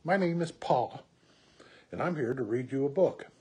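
A man in his sixties reading a children's picture book aloud at home with slow, deliberate, expressive phrasing. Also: medium pitch, husky. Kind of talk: read-aloud children's story. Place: small room at home, indoors.

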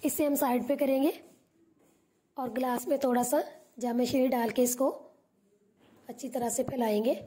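A woman hosting a cooking channel, calmly narrating a recipe step by step as a voice-over. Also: high-pitched, moderate pace, long pauses. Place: home kitchen.

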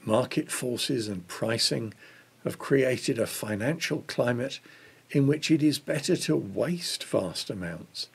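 A man in his sixties reading a devotional book aloud in a measured way. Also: medium pitch, expressive, vocal fry, husky. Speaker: reader of a church Lent devotional.

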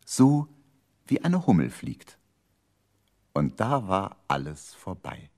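Male narrator for a children's audio drama, low voice with very varied intonation. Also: big pitch swings, slow pace, dark tone, faint music behind. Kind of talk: scripted children's radio-play storytelling.

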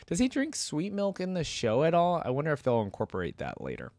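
A man's voice: fairly high pitch, wildly swooping pitch, normal speed, darkish tone, dry recording. A man in his thirties giving casual reaction commentary as a video host, close on a desk microphone. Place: indoor room. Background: game music.

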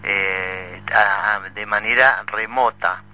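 A middle-aged Argentine man co-hosting a radio show, making announcements in a halting way and often repeating himself. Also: lowish voice, fairly even intonation, pushed and bright, rough recording. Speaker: radio co-host.

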